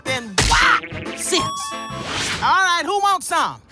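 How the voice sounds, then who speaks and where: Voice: very high-pitched, sing-song, slow, heavily acted. An actor playing a sassy, bossy yet doting cartoon grandma with folksy scolding and pet names. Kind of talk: animated cartoon voice acting. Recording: loud music behind the voice.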